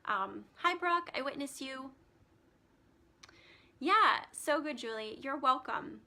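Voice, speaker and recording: Bright-voiced woman healer chatting live. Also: sits high, lively intonation, takes it slowly, gravelly. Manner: chatty, frequent ums, reads card meanings aloud. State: voice getting scratchy. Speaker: spiritual healer hosting a live stream. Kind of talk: casual live-stream chat with card readings.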